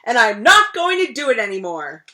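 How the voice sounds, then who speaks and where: A woman voicing a grumpy crocodile disguised as a princess in a storybook, yelling loudly and defiantly. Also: fairly high pitch, big pitch swings, moderate pace, pitched above the performer's own voice.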